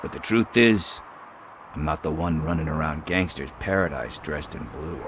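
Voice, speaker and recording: An actor playing a chatty, evasive street hustler who talks in wheedling casual slang. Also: male voice, rumbling low, normal speed, noisy background. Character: shifty street hustler, middle-aged man, chatty and evasive.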